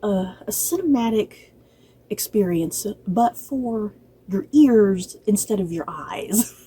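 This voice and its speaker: A woman's voice: mid-range voice, lively intonation, takes it slowly, mellow, breathy edge. A woman giving a casual, unscripted review to viewers as a channel host, chatty and rambling, often circling back to repeat herself.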